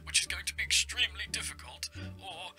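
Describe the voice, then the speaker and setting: A man playing a flustered, apologetic helper who speaks haltingly and gravely in an improvised game roleplay. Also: high voice, over music, close to an everyday voice. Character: Alfred, apologetic, flustered male helper giving grave advice.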